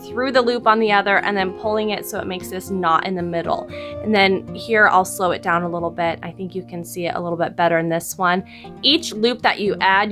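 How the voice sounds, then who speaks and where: A bit hoarse lady talking thru a craft tutorial step by step, middle pitch, guitar music under it. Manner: friendly step-by-step explaining. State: slightly hoarse, losing her voice. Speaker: crafting channel host. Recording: voice-over with background music.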